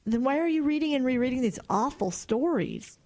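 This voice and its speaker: A woman's voice: sits high, expressive, fairly quick, darkish tone. A woman playing a strict, worried mother who scolds her son. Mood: scolding. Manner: scolding, exasperated. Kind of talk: horror audio drama.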